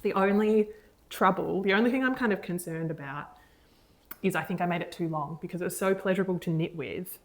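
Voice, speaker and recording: Chatty woman, low voice. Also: a little hiss or hum. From Australia. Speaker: podcast co-host. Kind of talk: casual chat.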